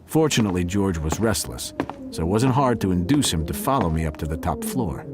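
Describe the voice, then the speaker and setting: A man playing a watchful cat who narrates a murder mystery in a wry, deliberate, scripted style. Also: low voice, lively intonation, music in the background. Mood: wry, observant. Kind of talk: scripted first-person narration, audio drama.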